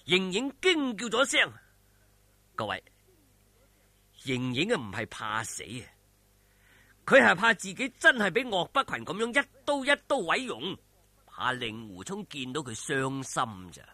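A man with a Cantonese accent telling a martial arts story in a dramatic, animated, colloquial and suspenseful way. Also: a little high, measured pace, long pauses, no echo.

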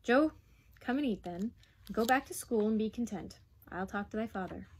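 A woman's voice: fairly high pitch, lively intonation, normal speed, darkish tone, slight background noise. A woman voicing a weary, exasperated working-class mother in a story, firm but patient, using old dialect words. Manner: plain dialect speech with thee and thy.

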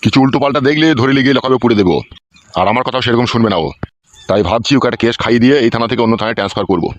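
A man's voice: medium pitch, racing, dry recording, close to an everyday voice. A man playing a corrupt, scheming local politician in a comic cartoon, bossy and coarse, on a dull dry recording.

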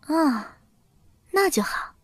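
Sharp-witted young royal wife, breezy and high-pitched, period audio drama female performer. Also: big pitch swings, drawn-out words, no echo, heavily acted. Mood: breezy, placating. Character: spirited, sharp-witted young princess consort, breezy and defiant. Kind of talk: audio drama acting in Mandarin.

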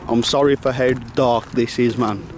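Brief young lad, slangy vlogger, noisy outdoor camera audio. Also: male voice, medium pitch, fairly even intonation, normal speed. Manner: slangy, swearing, joking. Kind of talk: casual vlog narration. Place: outdoors at night near old train tracks.

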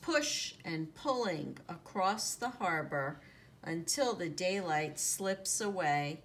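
A woman reading a children's picture book aloud in an expressive, deliberate storytelling manner, drawing out the sound words. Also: lowish voice. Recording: noticeable background noise, home recording.

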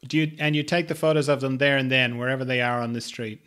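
A man, older, hosting a podcast over a video call and gently asking questions with some hesitation. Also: medium pitch, fairly even intonation, quick pace, clean tone. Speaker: podcast host. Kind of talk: casual video call interview.

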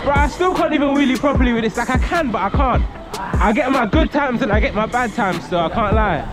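A man's voice: very high voice, animated, a little fast, a loud crowd. A young man with a London accent chatting casually and slangily, repeating phrases, while riding a bike outdoors. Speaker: vlogger. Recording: loud music, busy street noise. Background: music, traffic, street voices.

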